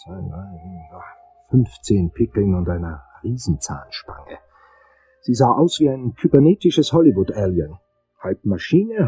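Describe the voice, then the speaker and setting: An actor playing a wry, anxious male investigator who narrates a crime radio play in a deliberate, sardonic way. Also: lowish voice, warm tone, poor audio.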